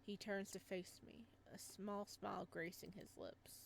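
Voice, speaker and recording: A woman's voice: medium pitch, a little slow, gravelly, a little hiss or hum. Woman reading a game aloud, reacting. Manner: reads narration calmly, then breaks into startled, animated reactions. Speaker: gamer reading a visual novel aloud.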